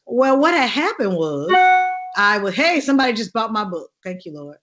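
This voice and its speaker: Deep-voiced woman, animated storyteller. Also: normal speed, soft background music. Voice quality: deep contralto voice. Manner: animated, laughing, acts out voices in her stories. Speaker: actress and singer guest. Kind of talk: lively interview storytelling.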